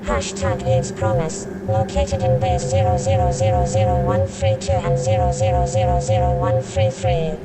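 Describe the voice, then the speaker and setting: A woman's voice: very deep voice, huge pitch swings, normal speed, pauses often, heavily acted, poor audio. A woman playing an impassive ship's computer, making formal mechanical announcements in a science-fiction audio drama. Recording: loud music and vehicle-like sounds behind.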